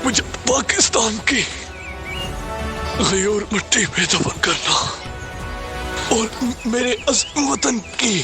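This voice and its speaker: A man's voice: high voice, animated, slow pace, long pauses, exaggerated voice. An actor playing a loyal, deferential male servant on a refugee trek in an audio drama. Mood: dying. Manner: deferential, addresses his leader respectfully. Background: music score.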